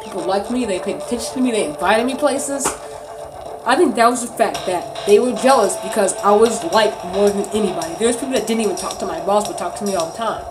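A woman's voice: medium pitch, fairly quick, music in the background, poor audio. Indignant woman venting to her phone. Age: 30s. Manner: indignant, emphatic, slangy venting with mimicked quotes. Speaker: vlogger. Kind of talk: casual storytelling rant to camera. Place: small bedroom, indoors. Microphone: phone at arm's length.

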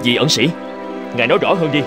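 A man voicing a naive, excitable young scholar in a cartoon moral tale. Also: mid-range voice, fast talker, natural voice. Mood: indignant. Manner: excitable, protesting. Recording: music behind the voice.

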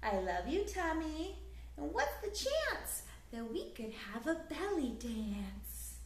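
A woman in her thirties reading a picture book aloud to young children in an expressive, sing-song way, recorded in a living room. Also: high-pitched, takes it slowly, echoey room, a little hiss or hum. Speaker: children's story time host. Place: small living room, indoors on a couch.

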